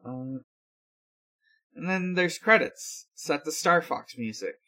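Slow-talking man recapping casually, slightly high voice. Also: animated, sharp tone. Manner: halting, filler-heavy, unhurried. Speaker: podcast host. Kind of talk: casual episode recap with frequent pauses.

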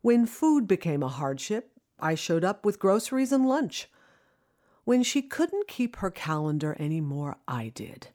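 A woman narrating a scripted first-person audio drama in an expressive, reflective storytelling manner. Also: mid-range voice, a little slow. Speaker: narrator, singing student.

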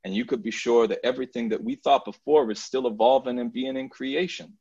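A man's voice: medium pitch, fairly quick, vocal fry, mellow, breathy edge, dull audio. A man freestyle rapping in a rhythmic rhyming flow, then talking earnestly and thoughtfully as a guest artist. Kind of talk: freestyle rap then reflective talk.

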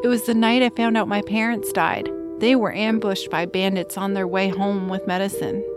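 A woman's voice: medium pitch, steady tone, normal speed, close to an everyday voice. A woman playing a curious, innocent little troll girl, childlike and tender, in a family audio drama. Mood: sad, tender. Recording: music behind the voice.

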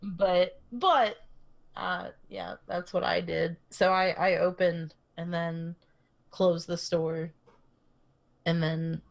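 A woman's voice: lowish voice, steady tone, slow, creaky. A young woman co-hosting a podcast, casually telling a long story full of fillers and restarts, often laughing. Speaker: podcast co-host. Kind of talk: casual chat.

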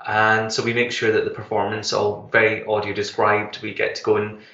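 A Scottish man with a somewhat low but bright, forceful voice talks eagerly, full of fillers and restarts. His sound carries a roomy echo. The line sounds dull and muffled. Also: completely flat delivery, a little fast, vocal fry. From Glasgow, Scotland. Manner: enthusiastic, many fillers and restarts. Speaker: tour manager guest. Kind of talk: casual radio interview.

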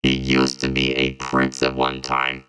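A man playing a calm time-traveling robot in an audio drama, speaking in measured phrases through a synthetic voice effect. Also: over music. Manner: measured, even, explanatory delivery. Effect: very deep synthetic robot voice processing. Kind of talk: hobby audio drama acting.

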